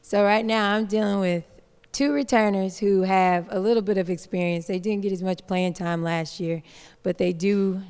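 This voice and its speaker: Soft, clear young woman in echoing hall. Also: mid-range voice, fairly even intonation, moderate pace. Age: 20s. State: a little nervous. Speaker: college basketball coach. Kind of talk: informal remarks at a media day. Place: large indoor hall, at a microphone. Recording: echoey hall sound with long reverb.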